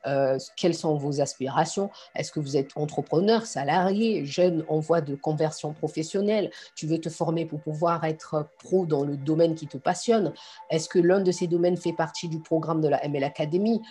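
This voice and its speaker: A woman's voice: deep voice, normal speed, dark tone, slightly rough. A woman from Congo and France giving an informal online lesson as a coach, speaking directly and encouragingly to her students. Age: adult. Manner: encouraging, addresses the learner directly. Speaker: online course instructor and coach.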